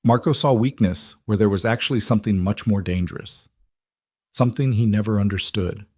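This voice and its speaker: A man voicing a stern, shrewd older mother of a crime family in a measured, grave way for an audio story. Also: lowish voice, a little mellow, no echo, studio quality, close to an everyday voice.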